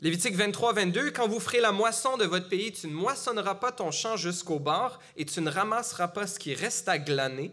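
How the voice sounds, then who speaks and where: A man's voice: high-pitched, lively intonation, brisk, few pauses, pushed and bright. A middle-aged man from Gatineau with a Quebec French accent, preaching a sermon in a conversational way with frequent fillers and restarts. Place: church.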